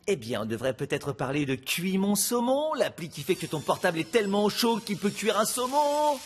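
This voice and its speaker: A cocky cartoon cat with a high, husky voice. He is boastful and dramatic, swinging widely in pitch like an excitable dreamer of fame. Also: moderate pace, full character voice. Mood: defensive. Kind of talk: French cartoon dub acting.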